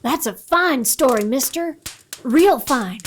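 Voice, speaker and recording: High-pitched earnest frontier boy played by a woman. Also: lively intonation, slow, a little hiss or hum. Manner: earnest, simple phrasing. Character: earnest, polite young child of the Old West. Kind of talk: radio play acting.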